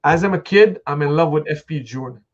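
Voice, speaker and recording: A middle-aged man hosting a conversational interview, talking in a halting, thinking-aloud way on a slightly lo-fi recording. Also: a little high.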